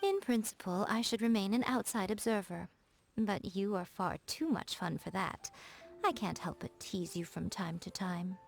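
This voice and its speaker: A woman playing a sly, elegant fox spirit shrine maiden in a video game, coy and self-assured, in measured teasing phrases. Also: mid-range voice, vocal fry, natural voice. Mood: playful teasing. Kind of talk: English video game character voice acting. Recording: music behind the voice. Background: background music.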